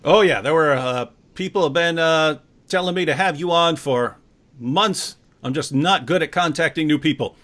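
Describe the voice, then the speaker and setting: A man's voice: on the high side, normal speed, fairly bright tone. A man hosting a casual livestream interview, talking with playful showmanship. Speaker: host.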